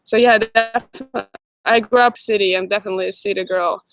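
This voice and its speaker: A woman's voice: mid-range voice, steady tone, quick pace, soft background music. Chatty Russian woman reading viewer comments. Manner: reads viewer comments aloud and answers chattily. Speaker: livestream host. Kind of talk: casual live chat Q&A.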